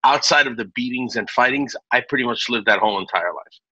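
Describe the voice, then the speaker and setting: A man's voice: medium pitch, fast talker, sharp tone, slightly rough, no echo, highs cut off. A man in his forties from Naples, Italy, a restaurant owner telling his story in a casual interview, chatty with many restarts and fillers. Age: 40s.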